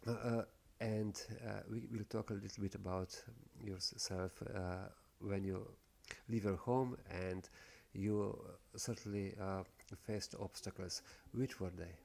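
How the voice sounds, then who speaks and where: A man's voice: on the low side, fairly even intonation, takes it slowly, gravelly, a little mellow. A man interviewing a guest, asking questions hesitantly with many fillers.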